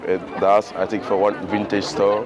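A man interviewed outdoors on a busy city street, answering in halting, repetitive phrases full of fillers. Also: lowish voice, level tone, over a noisy crowd. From Italy. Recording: outdoor street with loud music behind.

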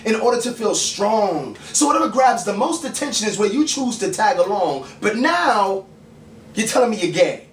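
A male spoken word poet performs theatrically, his high voice swinging widely in pitch. He puts weight on pointed questions and leaves deliberate pauses. The recording comes from a distant camera in a small bar room, with some background noise. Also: very animated, echoey room. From the Bronx, New York, USA. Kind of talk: dramatic spoken word poetry performance on stage. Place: small dim bar stage, indoors. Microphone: far camera mic, stage mic unused beside him.

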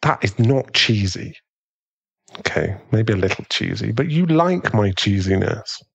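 A man with a British accent playing a tender, protective aristocratic werewolf fiancé in a soothing ASMR boyfriend roleplay, his voice husky and genteel. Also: fairly low pitch, animated, unhurried, dull audio, exaggerated voice. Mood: playful, affectionate.

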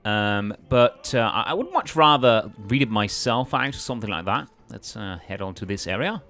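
Animated man doing casual game commentary. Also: lowish voice, normal speed. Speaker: gaming video host. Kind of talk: casual let's play commentary. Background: faint game music.

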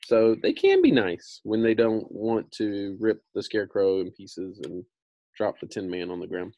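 A man's voice: on the low side, sing-song, moderate pace, dark tone, no room sound. An American man in his thirties reading a book aloud in an informal, slangy way with casual asides. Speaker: read-aloud storyteller.

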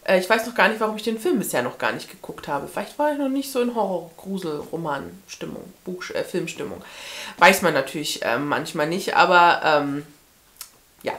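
A woman's voice: lowish voice, lively intonation, normal speed, a bit bright. A woman in her thirties reviewing books casually to camera, chatting and thinking aloud as she goes, recorded in a furnished room. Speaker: book vlogger.

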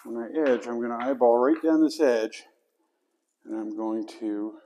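A man giving casual how-to instructions while he works, talking himself through each step and dropping to quiet mutters. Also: mid-range voice, moderate pace, a little hiss or hum. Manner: talks to himself mid-task, drops to mutters. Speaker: flooring installer demonstrating. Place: basement, indoors.

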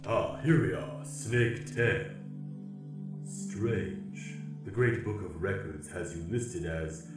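A giant grim reaper speaks very low and slowly, with formal, deadpan patience. The solemn voice booms through heavy echo over music in a comic fantasy radio play. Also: male voice, distant mic. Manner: slow, formal, deliberate, deadpan.

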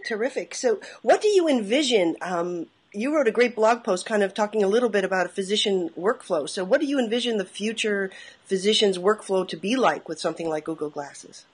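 A woman's voice: medium pitch, lively intonation, a little fast, breathy edge. A woman interviewing a guest, asking long, rambling questions.

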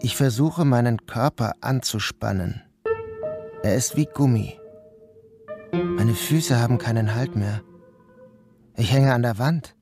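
A man's voice: mid-range voice, takes it slowly, pauses often, music in the background. An actor playing a troubled man who narrates his dreamlike ordeal in a radio play. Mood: grave, intense. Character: troubled male first-person narrator recounting a dreamlike ordeal. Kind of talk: first-person audio drama narration.

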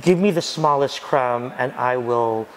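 A man's voice: mid-range voice, unhurried, rough recording. A man in his forties, a spoken word poet, talking animatedly and dramatically in a documentary interview. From United States. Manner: animated, dramatic, gesturing expressively. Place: restaurant booth and outdoor graffiti alley.

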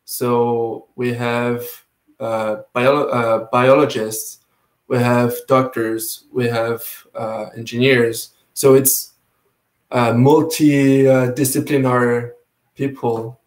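A man's voice: mid-range voice, little pitch movement, slow. Young man, hesitant. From Quebec, Canada. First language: French and Spanish. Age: young adult. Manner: hesitant, many fillers and restarts, eager. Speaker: sailing race entrant. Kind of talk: casual video call interview. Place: home room indoors. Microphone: video call. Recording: roomy video call audio.

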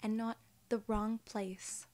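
A soft-spoken woman in her twenties doing an ASMR role-play as a polite alien gatekeeper, with measured, formal phrasing, close to the microphone. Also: fairly high pitch, little pitch movement. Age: 20s. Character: young, polite, friendly female alien gatekeeper. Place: small indoor room.

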